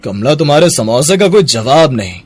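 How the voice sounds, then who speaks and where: A man voicing a friendly, complimentary customer at a food stall in an animated moral story. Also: medium pitch, normal speed, no room sound, clean studio sound. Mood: pleased. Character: appreciative male samosa-stall customer.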